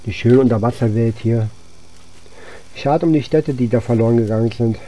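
A man's voice: medium pitch, normal speed, mellow, rough recording. A man giving casual let's play commentary as host, musing aloud to himself as he goes. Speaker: gaming channel host.

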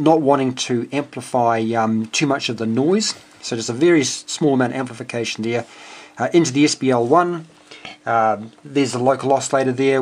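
A man with a New Zealand accent giving a casual, unscripted technical walkthrough as a radio hobbyist, hesitant and speaking in short phrases. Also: medium pitch. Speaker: amateur radio hobbyist presenting his homebuilt receiver. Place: indoors at a home workbench. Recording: clearly noisy home video with clicks.

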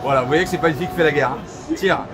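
A man's voice: fairly high pitch, fast talker, a crowd in the background. A man vlogging casually and enthusiastically, using informal slang. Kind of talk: casual chat to camera. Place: large indoor arcade. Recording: loud arcade music and machine din behind.

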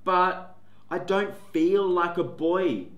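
Storybook child, hopeful and pleading, pitched up; a man voices it. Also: takes it slowly, reverberant, lo-fi sound. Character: child dreaming of dresses.

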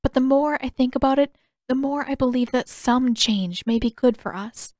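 A woman voicing a polite, dutiful young noblewoman with formal, measured phrasing in an audiobook story. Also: sits high, a little mellow, dry recording, studio quality, voice pushed up, lightly acted. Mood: earnest, gentle.

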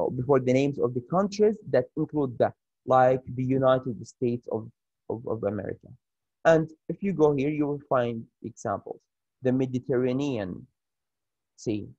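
A man with an Arabic accent teaching an English grammar lesson over a shared screen, pausing often with fillers and restarts. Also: mid-range voice, dark tone, no room sound, muffled recording. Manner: halting, many fillers and restarts.

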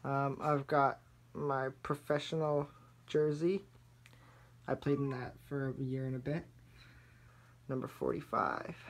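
A young man giving a casual, understated home tour as a gaming vlogger, recorded indoors on a handheld camera. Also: medium pitch. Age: young adult. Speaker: gaming YouTuber. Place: small furnished basement rooms indoors. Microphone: handheld camera's built-in mic.

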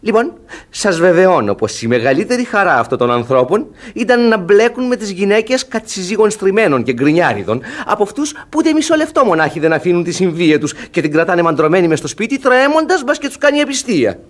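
An actor playing a sly, worldly valet in a classic Greek radio comedy, knowing and glib in a theatrical way. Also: male voice, high voice, big pitch swings. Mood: knowing, reassuring. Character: sly, worldly male valet advising his master.

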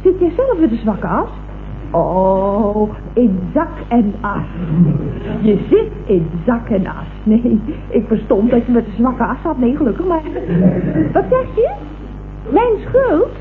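A woman's voice: a little high, big pitch swings, slow, full character voice. An actress playing a chatty, tactlessly blunt female office employee in a vintage Dutch radio comedy. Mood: chatty, gushing. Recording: muffled, noisy vintage radio recording.

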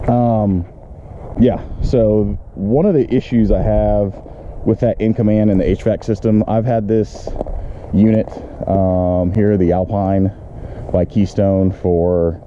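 A middle-aged man giving a casual, rambling how-to walk-through, thinking aloud into a handheld camera. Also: lowish voice. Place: outdoors beside a camper, later inside it. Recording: muffled handheld camera audio with clear background noise.

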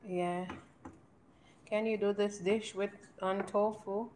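A woman's voice: medium pitch, fairly even intonation, slow pace, a little mellow. Woman co-hosting from a noisy kitchen. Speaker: co-host reading viewer comments. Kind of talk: casual chat on a live cooking stream. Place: indoor home kitchen. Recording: noisy kitchen, chopping and clatter. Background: chopping, clicks and clattering dishes.

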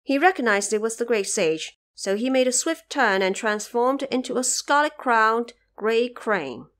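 Expressive woman narrating an audio drama. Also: a little high, a little slow. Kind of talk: scripted audio drama storytelling.

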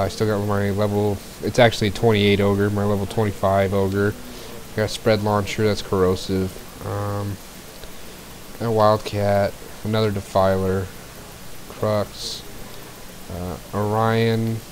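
A man casually talking through his gameplay as a streamer, a little scratchy from a cold, rambling along with fillers and the odd throat clear into a cheap desk mic. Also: fairly low pitch, darkish tone. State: getting over a cold, a bit scratchy. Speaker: game streamer.

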